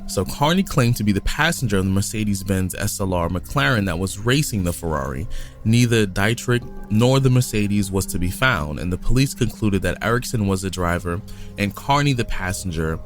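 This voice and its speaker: A man's voice: fairly low pitch, expressive, normal speed, faint music behind. A man co-hosting a podcast, reading a crime story from notes in a casual way, sometimes stumbling and restarting. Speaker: podcast co-host. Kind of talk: reading a case aloud in casual chat.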